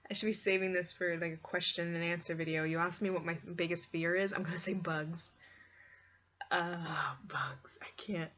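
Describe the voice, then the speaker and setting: A woman chatting casually and expressively like a video blogger, rambling along with "like" sprinkled through her sentences. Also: lowish voice. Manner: expressive, squeamish, exclaims in disgust; heavy use of "like" as a filler. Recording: dull lo-fi sound with clear background noise.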